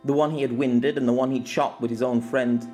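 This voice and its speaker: A man narrating an action scene in an audiobook in a steady storytelling voice. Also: medium pitch, brisk, faint music behind. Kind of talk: scripted narration.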